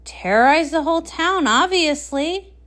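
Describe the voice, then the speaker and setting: A woman's voice: high voice, animated, unhurried, a bit bright. A woman voicing an anxious, easily exasperated male pony prince with an urgent, dramatic manner in a comic read-along.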